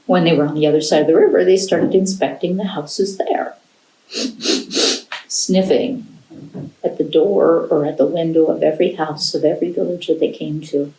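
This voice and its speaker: An American woman telling a folktale to children in an animated storyteller's style. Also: low voice, normal speed. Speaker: library storyteller. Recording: roomy, echoing indoor sound.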